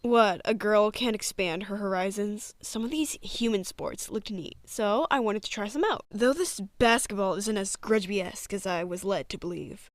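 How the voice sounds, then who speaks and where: A woman's voice: mid-range voice, normal speed, few pauses, dry recording, studio quality, lightly acted. A woman playing a brash, sarcastic athletic teenage witch girl in a cartoon comic dub, with a blunt, exasperated manner. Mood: snarky, irritated.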